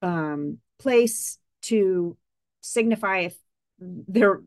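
A woman hosting a podcast interview, chatty and quick with asides, often correcting herself mid-sentence. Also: medium pitch, no echo. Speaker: podcast host. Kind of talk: casual interview chat.

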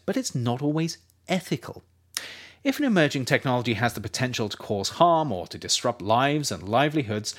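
A man reading his own nonfiction writing aloud as a narrator, measured and explanatory, with emphatic phrasing. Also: medium pitch, slightly rough. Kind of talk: scripted audiobook-style narration.

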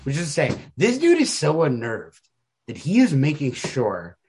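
A man's voice: a little high, huge pitch swings, moderate pace, darkish tone, a little hiss or hum. A man co-hosting a podcast, talking casually and earnestly about stories in informal, slangy language with plenty of emphasis. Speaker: podcast co-host. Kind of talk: casual literary discussion.

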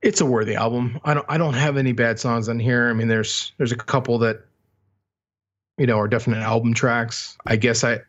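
A man's voice: medium pitch, steady tone, fairly quick, fairly bright tone, muffled recording. A man co-hosting a music podcast, giving album opinions in casual chat with a loose, hedging, conversational manner.

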